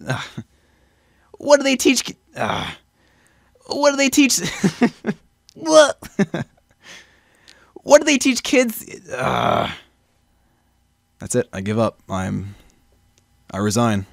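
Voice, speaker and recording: A man's voice: extremely high pitch, huge pitch swings, takes it slowly, creaky, pauses often, no room sound, clean studio sound, voice pushed up, exaggerated voice. A man voicing an exasperated male lawyer who groans and gives up on his lines.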